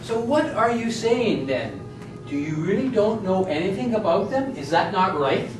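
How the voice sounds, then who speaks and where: A woman's voice: medium pitch, big pitch swings, normal speed, over music, lightly acted. A woman playing an emphatic, challenging defense lawyer in an amateur stage courtroom play. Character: dramatic, challenging defense lawyer in mock trial. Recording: echoey distant room, noisy.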